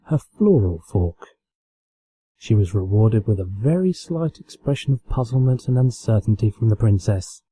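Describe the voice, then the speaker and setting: A man's voice: on the low side, lively intonation, moderate pace, warm tone, breathy edge, no room sound, rough recording. A man with a British accent narrating a written story aloud as an amateur reader. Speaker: amateur fan fiction reader.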